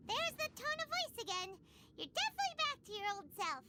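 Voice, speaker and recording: An extremely high-pitched, squeaky little fairy, cute and easily indignant. The delivery swoops around in anime game style, with loud noise behind it. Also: female voice, very animated, normal speed. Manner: childlike, squeaky, refers to self by name. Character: tiny childlike floating fairy companion, cute, excitable, easily indignant.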